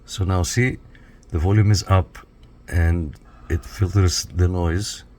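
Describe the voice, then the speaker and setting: Very deep-voiced man giving a walkthrough. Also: fairly even intonation, takes it slowly, darkish tone. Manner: explains step by step, often checking with "okay". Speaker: radio reviewer, demonstrator. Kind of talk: casual hands-on product walkthrough.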